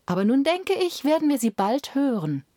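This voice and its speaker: Woman voicing a timid little kitchen girl, high and gentle. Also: sing-song, normal speed, no room sound, studio quality. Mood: eager.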